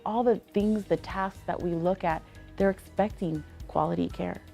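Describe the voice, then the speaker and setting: Woman with a low, dark, gravelly voice. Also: normal speed, soft background music. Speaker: nurse. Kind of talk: interview testimony.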